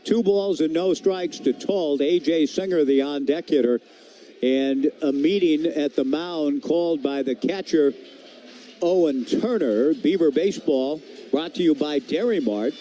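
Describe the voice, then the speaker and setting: A man's voice: a little high, measured pace, dark tone, slight background noise. A man doing live radio play-by-play of a baseball game in clipped, descriptive phrases. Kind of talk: live radio sports commentary.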